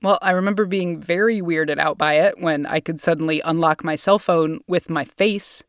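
A woman's voice: sits low, normal speed, no echo, clean studio sound. An American woman co-hosting a podcast in a casual, informal and playful conversational style. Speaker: podcast co-host.

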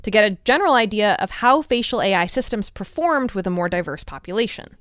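A woman with a mid-pitched voice reports on a science podcast. She explains things conversationally, and the sound is very dry, with no room around it. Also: lively intonation, fairly quick, fairly bright tone, dry recording.

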